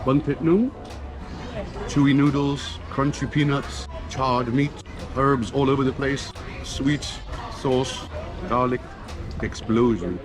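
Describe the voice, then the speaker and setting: A man's voice: mid-range voice, fairly even intonation, takes it slowly, long pauses, music in the background, low-quality recording. A man from Kenya and Switzerland, a travel vlogger, chatting casually on camera while eating outdoors and at a restaurant, listing flavors as he goes. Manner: enthusiastic, eats while talking, lists flavors. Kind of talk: casual on-camera food tasting.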